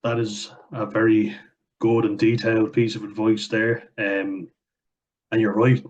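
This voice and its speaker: A man's voice: on the low side, flat delivery, unhurried. A young man reading out viewer comments and answering them in blunt, sweary casual chat, with frequent fillers. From Ireland. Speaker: vlogger. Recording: dull lo-fi recording.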